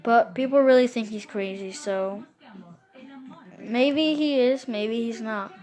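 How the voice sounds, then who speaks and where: A woman improvising a scary story in a casual, halting and repetitive way. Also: on the high side, darkish tone.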